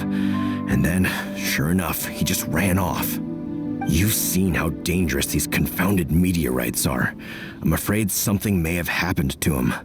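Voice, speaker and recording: A man's voice: low-pitched, natural voice. A man doing a worried, stern old villager. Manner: worried, earnest, old-fashioned phrasing. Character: worried older male villager, stern but caring. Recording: game soundtrack music behind.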